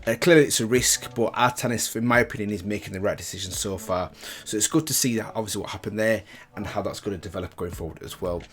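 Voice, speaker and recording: A man's voice: fairly low pitch, fast, few pauses, fairly bright tone. A man giving casual, chatty, informal commentary as the host of a reaction video.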